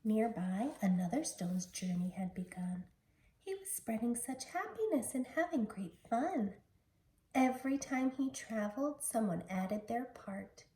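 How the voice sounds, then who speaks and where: A woman, a teacher, reading a children's picture book aloud in an expressive storytelling style. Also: fairly high pitch, measured pace. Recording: roomy, distant mic with background noise.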